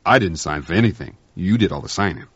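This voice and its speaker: A man's voice: deep voice, expressive, fast talker, fairly bright tone, breathy edge, dry recording, lo-fi sound, heavily acted. Man doing a baffled ship's radio tech. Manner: puzzled, matter-of-fact. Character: baffled spaceship radio technician.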